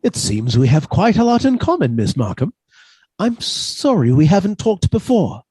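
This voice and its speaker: An actor playing a mild, henpecked older botany professor with a halting, polite manner in a radio suspense drama. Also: male voice, high-pitched, big pitch swings, mellow, husky, no room sound, exaggerated voice. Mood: shy, courteous.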